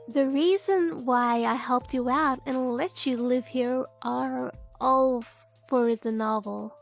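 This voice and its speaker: A woman playing a shy, earnest young novelist in love in an anime-style dub, speaking in a sweet, apologetic, emotive way. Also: high voice. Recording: music bed behind the voice.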